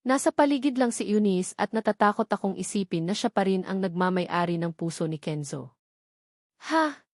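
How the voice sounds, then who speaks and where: A woman narrates a romance novel in the first person. Her voice sits at a middle pitch for a woman. The recording is dry, with little room sound. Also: fairly quick, darkish tone, no echo. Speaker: audiobook narrator.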